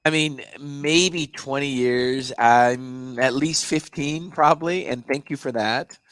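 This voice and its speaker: A man's voice: mid-range voice, takes it slowly, a bit bright, smooth. A man in his fifties hosting a podcast interview, asking questions in a friendly, encouraging way. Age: 50s. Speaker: podcast host.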